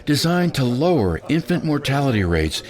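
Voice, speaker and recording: A man narrating a news report with a lively announcer delivery. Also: medium pitch, moderate pace. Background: music bed.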